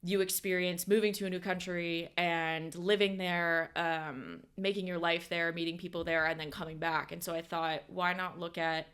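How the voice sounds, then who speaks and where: A woman's voice: on the low side, level tone, moderate pace, hardly any pauses, a bit bright, smooth. A young woman from the United States, a podcast guest in casual chat who rambles with many fillers and corrects herself.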